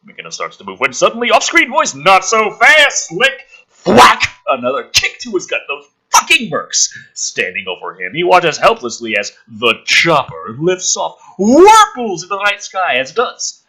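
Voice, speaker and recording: A man hosting a theatrical script reading, with dramatic emphasis and sarcastic, exasperated commentary in a rough-edged voice. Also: on the high side, huge pitch swings, normal speed, few pauses, pushed and bright, poor audio. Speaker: host reading and mocking a film script.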